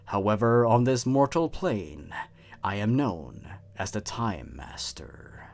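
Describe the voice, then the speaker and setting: A man voicing an ancient, all-powerful male time being who speaks in a slow, grave and menacing way in a horror story. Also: fairly low pitch, expressive, vocal fry, a little mellow, exaggerated voice.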